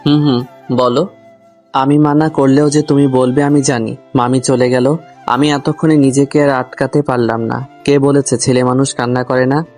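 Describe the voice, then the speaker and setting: A man's voice: medium pitch, flat delivery, normal speed, darkish tone. A man narrating a comic love story in the first person, grumbling and talking straight to the listener. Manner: grumbling, addresses the listener directly. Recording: music under the voice. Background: background music.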